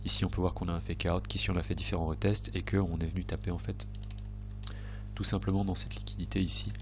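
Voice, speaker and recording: A man's voice: low voice, flat delivery, fast, vocal fry, fairly bright tone, a little hiss or hum. A man with a slightly congested, cold-affected voice, giving hesitant, unscripted chart commentary as a trading video host, often correcting himself as he thinks aloud.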